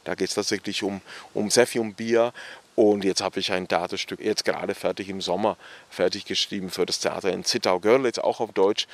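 A man's voice: deep voice, fairly even intonation, a little fast. A man from the Czech Republic with a Czech accent, talking about his writing in a reflective, hesitant way full of fillers. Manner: thoughtful, hesitant, many fillers. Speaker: author. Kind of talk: relaxed reflective interview talk. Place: outdoors on a cemetery bench.

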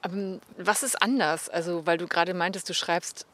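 A woman's voice: fairly low pitch, fairly even intonation, normal speed, fairly bright tone. A woman interviewing a writer, asking curious, friendly questions. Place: outdoors on a cemetery bench.

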